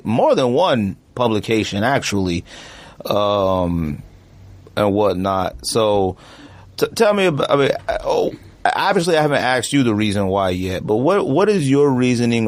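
A man's voice: lowish voice, dry recording. A man hosting a sneaker podcast, talking in slangy, joking casual chat. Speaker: podcast host.

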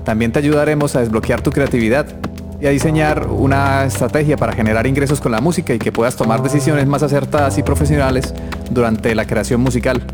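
A man from Colombia hosting a podcast in relaxed, chatty interview talk. His voice sits at a middle pitch, with soft music playing faintly behind him. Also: quick pace. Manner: relaxed, friendly, chatty questions. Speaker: podcast host. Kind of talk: casual interview.

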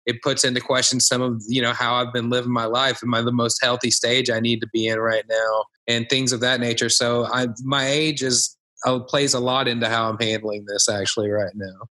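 A man's voice: mid-range voice, flat delivery, brisk, pushed and bright, poor audio. A man on a video call, speaking candidly and hesitantly with many fillers. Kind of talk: casual group video chat.

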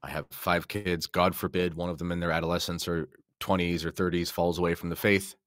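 Middle aged guy guesting on a podcast, low voice, really dry sound, just talking it through. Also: flat delivery, a little fast, fairly bright tone, no echo. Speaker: podcast guest and audiobook narrator. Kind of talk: casual discussion.

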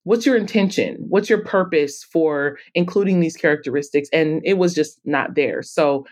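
A woman with a fairly low voice talks as a podcast guest. She explains things in an emphatic, conversational way, often slipping into quoted remarks to make her point. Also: moderate pace, darkish tone. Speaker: guest author and anthology publisher.

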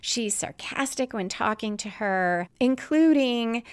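A woman hosting a podcast, giving explanatory, semi-scripted commentary in a measured way. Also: on the high side, lively intonation, smooth. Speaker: podcast host analysing a TV episode.

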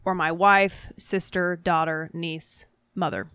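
A woman co-hosting a podcast, reading listener messages aloud in a measured, articulate manner. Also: on the low side, fairly even intonation, a bit bright, clear, resonant tone, dry recording, clean studio sound. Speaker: podcast co-host.

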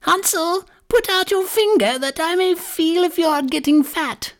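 A woman voicing a shrill, sly and cruel old witch in a storybook reading, with a mocking, drawn-out delivery. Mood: commanding, cruel. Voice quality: shrill, cackling.

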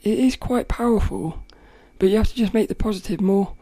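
A woman with a rough, cracking voice, hosting a podcast and thinking aloud in a rambling way, often laughing. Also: mid-range voice, a little fast, mellow, no echo. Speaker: podcast host. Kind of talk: casual reflective monologue.

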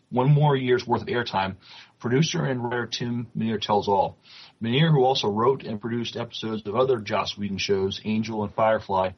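Man, husky, lowish pitch, halting podcast co-host, casual chat and news reading, repeats words, slightly muffled lo-fi recording. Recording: slightly dull lo-fi audio.

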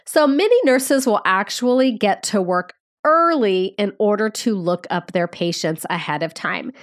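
A woman with a nasal, congested voice from a cold, teaching as a nursing podcast host in a conversational, friendly, explanatory way. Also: mid-range voice.

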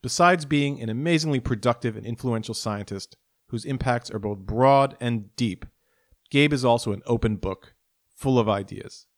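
A man's voice: medium pitch, normal speed, no echo, clean studio sound. A man hosting a podcast, reading a careful introduction and then interviewing a guest. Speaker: podcast host.

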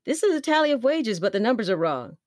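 A woman's voice: a little high, sing-song, quick pace, darkish tone, dry recording, studio quality, voice pushed up. A woman voicing a fussy, businesslike male solicitor in a spoken storybook reading. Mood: matter-of-fact.

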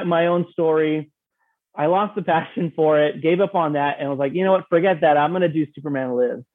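Higher-voiced man on a tinny, phone-like line. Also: no room sound. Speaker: podcast guest, audio drama creator. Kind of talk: casual storytelling in interview. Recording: thin, narrow phone-like sound.

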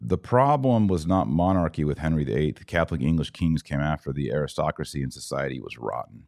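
A man's voice: a bass voice, animated, fairly quick, creaky, darkish tone. An American man hosting live religious and political commentary, speaking in an emphatic, preachy yet conversational way. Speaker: podcast host and religious commentator.

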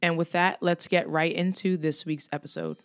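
A woman's voice: lowish voice, fairly even intonation, normal speed, dry recording. A woman hosting her own podcast, a little under the weather, speaking in an earnest, encouraging way and repeating phrases for emphasis. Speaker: podcast host. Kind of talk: solo podcast talk.

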